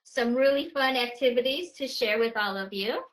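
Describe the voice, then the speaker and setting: A woman whose first language is Polish explains a classroom project over a video call. Her voice sits slightly high, and she talks in a halting way, full of fillers and restarts. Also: low-quality recording. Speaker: educator presenting a webinar. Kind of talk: webinar presentation.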